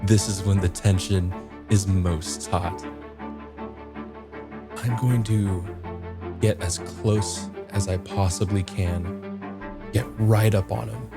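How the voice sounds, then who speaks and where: Slow-talking man with a lowish voice. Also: fairly even intonation. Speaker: tabletop game player. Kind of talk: in-character narration in a tabletop game. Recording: music clearly playing behind the voice. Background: background music.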